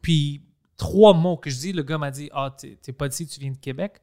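A man's voice: on the high side, moderate pace. Chatty man, Greek-tinged Québécois accent. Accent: Québécois French with a Greek tinge. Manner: laughs easily, relaxed and chatty. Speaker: podcast host. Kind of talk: casual conversation.

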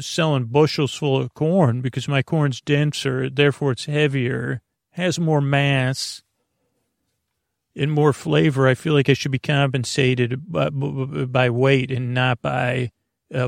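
Complaining farmer voiced by a man, rambling, gravelly, mid pitch. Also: steady tone, measured pace, no room sound, clean studio sound. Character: grumbling old-time farmer, proud of his corn.

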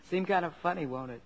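An actress playing a patient, devoted, middle-aged wife and mother, composed and gently teasing, in a 1950s radio drama. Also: fairly low pitch, slight background noise.

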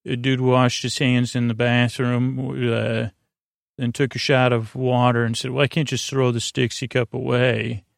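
Creaky-voiced man rambling, close and dry. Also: mid-range voice, little pitch movement, no room sound. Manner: halting, meandering, with trailing tangents and invented dialogues. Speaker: podcast host. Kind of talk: rambling absurdist bedtime monologue.

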